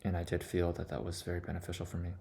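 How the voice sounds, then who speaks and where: A man giving a personal, reflective monologue in an earnest, confessional manner, setting out his thoughts in short, deliberate phrases. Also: sits low, completely flat delivery, gravelly, mellow. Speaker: vlogger.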